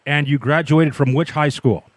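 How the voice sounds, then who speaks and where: A man hosting a scholarship program's interview, asking questions in a measured, politely probing way. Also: on the high side, dry recording, poor audio.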